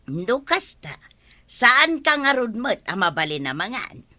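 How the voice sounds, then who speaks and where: An exasperated working wife from a radio comedy, with an Ilocano accent. Her voice is fairly high and piercingly bright, full of scolding retorts aimed at a lazy husband. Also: very animated, measured pace, full character voice.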